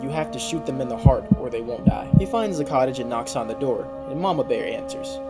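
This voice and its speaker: A man's voice: medium pitch, lively intonation, fairly quick. A young man doing casual recap commentary on a story, slangy and given to incredulous asides. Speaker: video commentator. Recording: loud music bed under voice. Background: loud background music.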